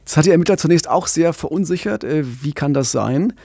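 A man in his forties co-hosting a true-crime podcast, explaining the case in a calm, measured way into a studio microphone. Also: on the high side, gravelly, dry recording. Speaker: podcast co-host. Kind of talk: scripted true-crime narration. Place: small radio studio. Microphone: studio condenser mic with pop filter, headphones.

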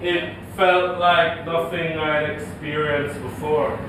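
A man's voice: fairly high pitch, flat delivery, slow pace. A Jamaican actor playing a nervous, smitten middle-aged married man who hesitates and stammers in a stage comedy-drama. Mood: smitten, teasing. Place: indoor theatre stage before an audience. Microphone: distant stage or camera mic. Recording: echoey theatre stage, distant mic, noisy.

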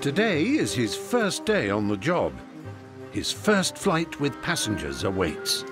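A man's voice: medium pitch, huge pitch swings, slow. A man narrating a documentary in a scripted voice-over style. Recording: voice-over with music behind.

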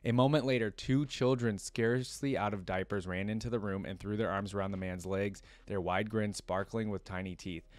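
A man's voice: lowish voice, fairly even intonation, normal speed, few pauses, no echo. A young adult man reading a story aloud and adding casual commentary as a reaction video host. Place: indoor room at a computer desk.

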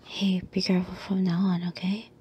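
A woman playing a sweet, watchful yandere girl in an ASMR roleplay, breathy and soft-spoken, often slipping into whispers. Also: on the low side, completely flat delivery, normal speed. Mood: concerned, defensive.

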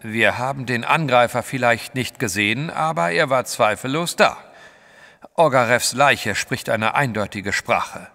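A level-headed gentleman hero of an audio drama. His delivery is forceful and lively in pitch, emphatic and solemn, with a long reverb around it. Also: measured pace, creaky, soft background music, full character voice. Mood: composed, reasoning.

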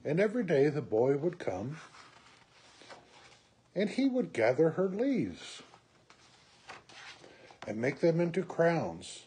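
Storyteller, male, 60s. Unhurried, deliberate read-aloud with a wide swing in pitch, some background noise. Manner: slow, deliberate, expressive phrasing. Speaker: storyteller reading a picture book. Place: small room at home, indoors.

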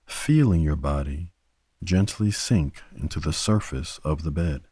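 A man guiding a sleep meditation in a gentle, soothing manner, leaving long pauses between short phrases. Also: extremely low pitch, slightly rough, no room sound, clean studio sound. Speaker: meditation guide. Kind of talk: guided sleep meditation narration.

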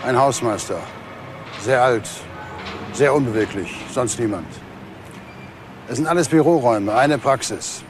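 A man acting a businesslike instigator of a crime in a radio drama. His mid-pitched voice gives clipped, methodical instructions, cool and controlled, with clear music underneath. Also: lively intonation, unhurried, long pauses, lightly acted. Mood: cool, instructing.

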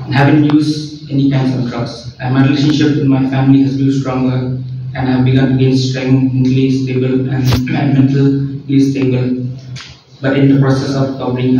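A man, steadily reading a personal recovery testimony at a podium, with frequent throat clearing. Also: mid-range voice, noisy background. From India. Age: 20s. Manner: steady, reads slowly with small restarts, clears throat often. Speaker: recovering addict giving testimony. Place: indoor room at a podium. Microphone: far camera. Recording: echoey room from far, dull lo-fi.

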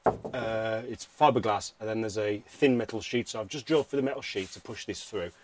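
A man's voice: on the low side, brisk. British man with noisy, clicky background. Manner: informal, thinking aloud as he works. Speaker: DIY vehicle vlogger. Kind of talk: casual hands-on how-to commentary.

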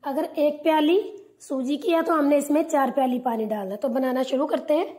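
A woman hosting a cooking channel, calmly giving step-by-step instructions in a tutorial voice-over. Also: high voice, normal speed.

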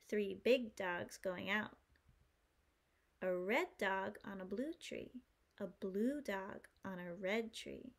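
A woman in her thirties reading aloud to young children as a preschool teacher, phrasing simply and clearly. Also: mid-range voice. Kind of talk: children's storytime read-aloud.

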